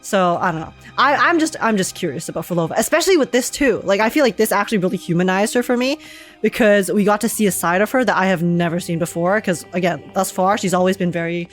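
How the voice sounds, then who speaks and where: A woman's voice: mid-range voice, fast talker. A young woman giving casual game reaction commentary, slangy and rambling, often acting out what others might say. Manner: rambling, animated quoting, slangy. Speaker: game streamer reacting to a story. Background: faint game music.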